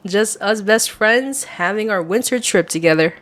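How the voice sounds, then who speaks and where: A young woman acting out a playful, excitable best friend with a secret crush in an ASMR roleplay audio, speaking in a chatty, expressive way. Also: medium pitch, moderate pace, lightly acted. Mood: excited, playful.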